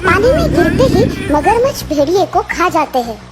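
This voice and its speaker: A woman's voice: very high voice, big pitch swings, moderate pace, over a noisy crowd. A woman narrating a scripted children's story as the storyteller. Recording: children's music behind the voice.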